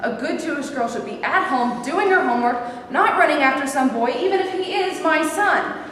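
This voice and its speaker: A woman playing a sharp-tongued, protective religious mother in a staged play reading. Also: sits high, lively intonation, moderate pace. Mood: scornful, angry. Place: live staged reading in a hall. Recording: echoey hall, distant mic, faint noise.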